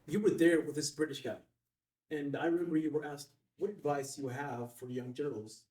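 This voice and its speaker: A man's voice: a little high, fairly even intonation, brisk, a little mellow, natural voice. A man playing a nervous, deferential young reporter in a film table read, hesitant and awkward. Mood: nervous, admiring. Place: room at a filmed table read. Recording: roomy, distant microphone.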